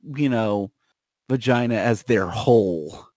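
A man's voice: lowish voice, slow, darkish tone, no room sound. Man riffing casually, close dry sound. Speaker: podcast co-host.

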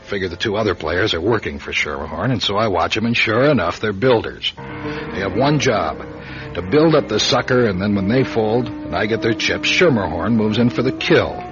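Low-voiced wry hard-boiled gambler played by a man. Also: animated, normal speed, natural voice. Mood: dry narrating. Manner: dry, wisecracking, tough. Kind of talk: radio detective drama, first-person narration and dialogue. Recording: dull lo-fi radio show, music behind.

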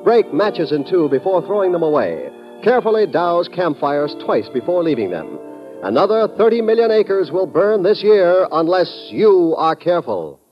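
High-pitched male vintage radio announcer. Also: very animated, moderate pace. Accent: American. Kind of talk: 1950s scripted radio announcement. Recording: dull vintage radio recording over music. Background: music.

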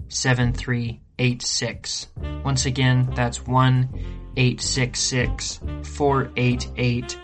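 A podcast announcer reading a scripted network announcement and helpline message. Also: medium pitch.

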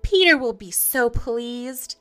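Lady putting on a tiny jealous fairy girl, shrill n high, spiteful, storybook tale. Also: lively intonation, takes it slowly. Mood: spiteful urging.

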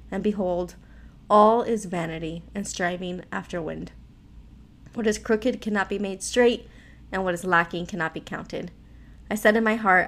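Raspy American woman hosting a devotional. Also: mid-range voice. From Miami, Florida, United States. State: hoarse from allergies. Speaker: devotional podcast host.

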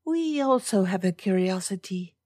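A woman's voice: medium pitch, animated, moderate pace, a little mellow, dry recording, clean studio sound, close to an everyday voice. A woman voicing a poised, grave young foreign lady in an audiobook, with quaint formal phrasing and a subtly teasing manner. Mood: formal, earnest.

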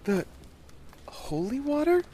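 Man voicing a curious high-voiced little girl. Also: very animated, slow, dark tone, heavily acted. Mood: amazed, questioning. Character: curious, stubborn, sheltered young girl.

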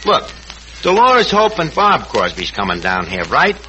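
A man's voice: fairly high pitch, huge pitch swings, measured pace, pushed and bright, highs cut off, exaggerated voice. An actor playing a brash, scheming New York tavern manager who mangles his words pompously in a 1940s radio comedy. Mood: cocky. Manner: pompous malapropisms and slangy grammar. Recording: noisy old 1940s radio recording.

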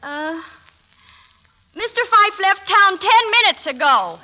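An actress playing a quick-witted, wary young bride in a 1940s radio comedy. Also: female voice, very high-pitched, expressive, full character voice.

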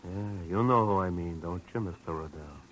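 An actor playing a slick, menacing debt collector in a 1940s radio detective drama, taunting with mock politeness. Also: male voice, low-pitched, normal speed, lightly acted. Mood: smug, probing. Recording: noisy old radio broadcast recording.

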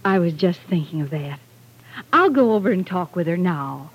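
A woman's voice: lowish voice, lively intonation, normal speed, lightly acted, rough recording. An actress playing a worried, caring middle-aged mother in 1940s radio soap style. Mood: concerned.

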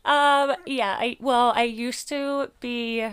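A woman's voice: fairly high pitch, steady tone, slow, a bit bright, smooth. A woman from England in casual conversation as an interview guest, with a mostly American accent that carries occasional English traces. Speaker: podcast guest, artist.

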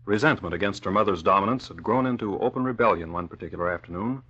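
A man's voice: fairly low pitch, quick pace, bright, forceful tone, slightly rough, lo-fi sound. A man narrating in the dramatic, declamatory style of a 1940s radio announcer. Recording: vintage radio recording with faint noise.

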